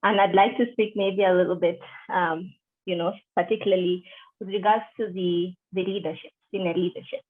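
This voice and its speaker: A woman's voice: fairly low pitch, steady tone. Woman from Kenya on a tinny line. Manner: measured, with frequent fillers and restarts. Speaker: interviewee, deputy country director. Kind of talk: video call interview. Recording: tinny call audio.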